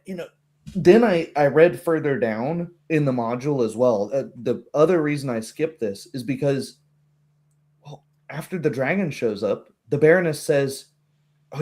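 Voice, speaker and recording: A man in casual, animated conversation about a tabletop game, talking as a game master and acting out imagined reactions in asides. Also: medium pitch, moderate pace.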